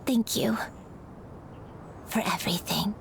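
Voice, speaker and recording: A woman's voice: medium pitch, slow pace, vocal fry, background noise. A woman voicing a tender, selfless old grandmother in a video game, with a soothing way of talking. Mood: tender, wistful. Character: tender, selfless old granny, a crane in human form.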